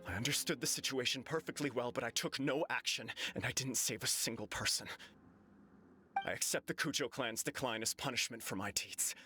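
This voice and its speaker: A man's voice: medium pitch, a little fast, pushed and bright, a little hiss or hum, lightly acted. An actor voicing an earnest, self-doubting young nobleman in a game, solemn and formal, over game music. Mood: remorseful. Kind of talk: game dialogue voice acting. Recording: game audio with music behind.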